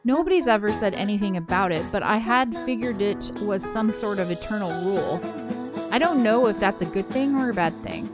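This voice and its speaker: A woman's voice: mid-range voice, a little fast, lightly acted. A woman reading a brooding, hazy-headed young ghost girl's first-person narration from a visual novel. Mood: wistful, musing. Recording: prominent background game music.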